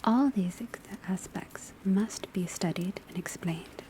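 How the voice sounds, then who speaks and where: A woman in a scripted ASMR classroom roleplay, speaking in a soft, breathy whisper as a measured, precise witch-school teacher. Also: mid-range voice. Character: calm female witch-school divination professor. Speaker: ASMR performer playing a divination class teacher. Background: crackling fireplace, rain on rooftop, pencil writing.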